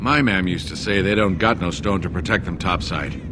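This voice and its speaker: An actor playing a naive, dim dwarf from the slums with a simple, wondering manner in a fantasy game. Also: male voice, low voice, moderate pace, background noise, lightly acted. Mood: naive, earnest. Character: naive, dim dwarf slum dweller afraid of the sky.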